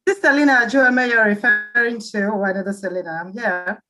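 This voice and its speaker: A woman's voice: mid-range voice, steady tone, brisk, bright, forceful tone, faint music behind. A woman joining an online poetry reading by audio, speaking politely and briefly before reading her poem. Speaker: guest poetry reader. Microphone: online call audio, no video.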